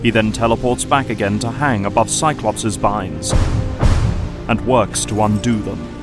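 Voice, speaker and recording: A man's voice: lowish voice, measured pace. Male audio drama narrator over music.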